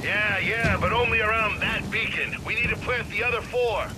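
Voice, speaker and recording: A man playing a loud, urgent soldier calling orders during combat in a video game. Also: sits high, normal speed, close to an everyday voice. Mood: brisk. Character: boisterous male soldier shouting orders in combat. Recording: loud battle noise and wind.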